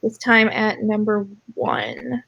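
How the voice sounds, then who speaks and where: A woman's voice: mid-range voice, steady tone, slow pace, gravelly, fairly bright tone, no room sound, highs cut off. A woman chatting casually as a player in an online tabletop game. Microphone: online call microphone.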